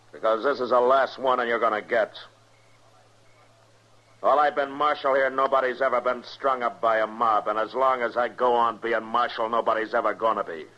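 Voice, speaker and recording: A man with an American accent playing a stern, unafraid frontier marshal, terse and authoritative, in an old-time radio western. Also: pushed and bright. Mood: commanding, angry. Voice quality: deep. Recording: noisy, muffled old radio recording.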